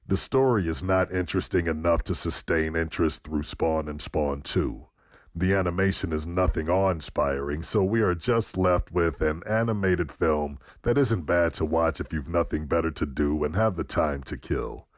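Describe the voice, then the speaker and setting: A man's voice: deep voice, normal speed, no echo. A man reading written reviews aloud on a podcast in a scripted delivery. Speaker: podcast review segment reader.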